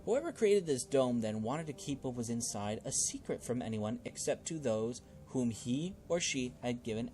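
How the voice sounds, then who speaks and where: A man with a mid-pitched voice hosts a game playthrough with music clearly playing behind him. He moves between reading story text aloud and casual, expressive commentary on the game. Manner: expressive, reacting out loud to the game. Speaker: gaming video host playing a role-playing game.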